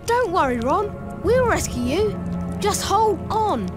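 A brave young boy wizard in a video game. The voice is high and lively, with an earnest air. Loud music runs underneath. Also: slow, heavily acted. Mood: reassuring.

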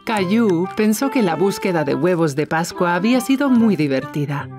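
A woman's voice: fairly low pitch, animated, moderate pace, music in the background, lightly acted. A woman narrating a scripted children's cartoon story for young listeners.

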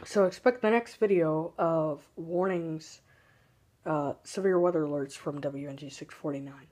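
A man's voice: a little high, slow, long pauses, a little mellow. A young man with a youthful voice giving hesitant, rambling casual commentary on a handheld phone in a bedroom. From Texas, United States. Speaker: weather radio hobbyist vlogger. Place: small bedroom at home, by a window. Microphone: handheld phone camera.

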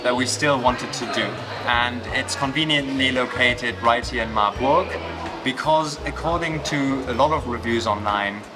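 A young man on a travel vlog, filming himself at arm's length and describing a meal with enthusiasm. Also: mid-range voice. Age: young adult. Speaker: travel vlogger. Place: indoor snack restaurant. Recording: music bed underneath.

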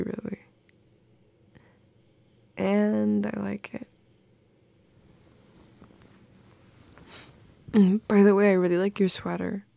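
Woman doing a teasy goth gf for audio rp, soft n murmury w lots of vocal fry, close dry sound, playful. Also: mid-range voice, no room sound. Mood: tender, coy. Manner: teasing, coy, giggly. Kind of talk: intimate audio roleplay addressed to the listener.

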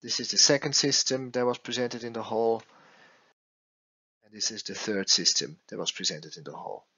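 A man working as an acoustics consultant explains a case study over slides in a halting way, repeating words as he goes. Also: fairly low pitch, sharp tone, breathy edge, lo-fi sound. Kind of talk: slide presentation, explanatory narration.

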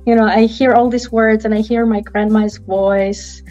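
Woman, author on a video call, fond storyteller, fairly high voice, dull lo-fi sound. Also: flat delivery, moderate pace, dark tone, faint music behind. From Armenia. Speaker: picture book author.

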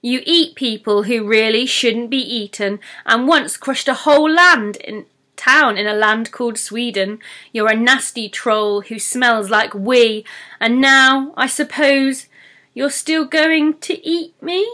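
A woman voicing a tiny, nervous female pixie who blurts out truths in a squeaky, anxious way, in a storybook reading. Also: slow, bright, forceful tone. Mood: blurting insults.

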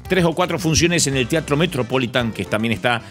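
A man's voice: medium pitch, brisk, soft background music. A middle-aged Argentine man hosting a radio show, chatting casually and telling stories with an easy laugh. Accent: Argentine Spanish. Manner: animated storytelling, laughs easily. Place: radio studio. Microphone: studio mic on a stand.

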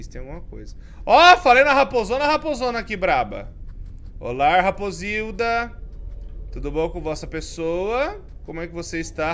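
A Brazilian man in his thirties, a streamer giving jumpy commentary as he plays a horror game, swinging from mumbles to yells. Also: on the high side, a little hiss or hum. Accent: Brazilian Portuguese. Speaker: gaming streamer. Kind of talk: let's play commentary. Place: home streaming room with colored lights.

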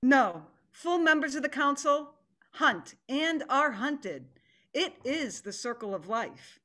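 A woman's voice: high voice, animated, bright, forceful tone, exaggerated voice. A middle-aged woman playing a formal, stern tiger who presides over an animal council in a play reading on a video call. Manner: ceremonious, deliberate. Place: living room at home.

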